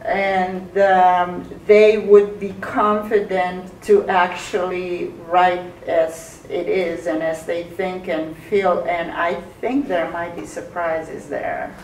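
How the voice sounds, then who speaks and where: A woman's voice: on the low side, flat delivery, slow pace, hardly any pauses, darkish tone. A distant woman speaks up from the audience in an echoey hall. She gives her critique insistently, picking her words with care. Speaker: audience member. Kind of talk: giving critique in a discussion. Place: lecture hall audience, off mic. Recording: echoey room, distant, faint noise.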